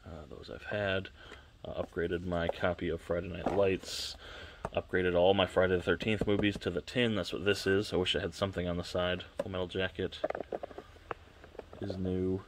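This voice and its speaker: A man's voice: low-pitched, little pitch movement, normal speed, noisy background. A congested, sniffling man giving a casual, rambling walkthrough of his shelves at home, talking offhand and correcting himself, recorded on a camera on a tripod. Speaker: collector vlogger.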